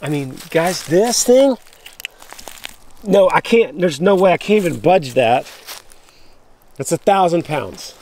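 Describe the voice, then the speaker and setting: A man filming a walking vlog outdoors in rural woods on a handheld camera, talking excitedly to viewers and breaking off mid-sentence. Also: fairly high pitch, takes it slowly, long pauses, slight background noise. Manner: excitable, breaks off and restarts, addresses viewers as guys. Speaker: vlogger showing storm damage. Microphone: handheld camera while walking.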